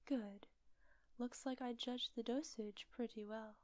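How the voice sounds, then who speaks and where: A woman playing a teasing, manipulative villain kidnapper in roleplay audio, softly half-whispering in a coaxing, unhurried way. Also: on the high side, fairly even intonation, dry recording, close to an everyday voice. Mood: calm, teasing. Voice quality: soft, breathy, often whispery. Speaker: voice actor.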